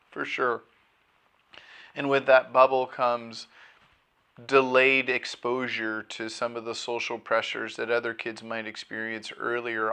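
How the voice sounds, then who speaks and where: A man's voice: medium pitch, gravelly, sharp tone. A man working as an educator, speaking thoughtfully in a documentary interview with frequent restarts and self-corrections.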